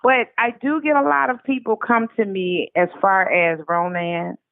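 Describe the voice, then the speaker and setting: A woman's voice: medium pitch, steady tone, normal speed, creaky, no echo. A woman guest speaking casually and emphatically over the telephone in an interview, repeating her points and acting out quoted remarks. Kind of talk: casual conversational interview answers. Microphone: telephone call. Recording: tinny narrow phone line.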